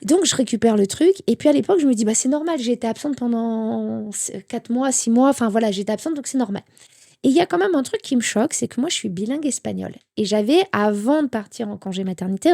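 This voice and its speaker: A woman in her thirties, a guest telling a personal story candidly, acting out the lines of people she quotes. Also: on the high side. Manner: animated storytelling with quoted dialogue. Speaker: interview guest.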